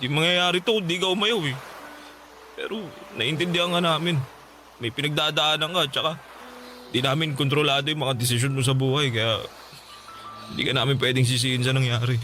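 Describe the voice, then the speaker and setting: An actor playing a young man's steady, reassuring friend in a radio drama. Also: mid-range voice, brisk, pauses often, natural voice. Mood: consoling. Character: Neil, calm consoling young male friend. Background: music.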